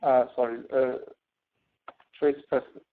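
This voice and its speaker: A man teaching a spreadsheet lesson online, explaining informally and a little haltingly. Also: medium pitch, steady tone, slight background noise. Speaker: instructor. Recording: tinny phone-like audio.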